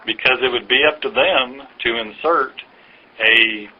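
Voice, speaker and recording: Male official fielding a phone query, hesitant and patient, middling pitch, dull telephone sound. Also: measured pace, husky. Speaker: official answering a caller's questions. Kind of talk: phone conversation, explanatory. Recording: dull lo-fi recorded phone call.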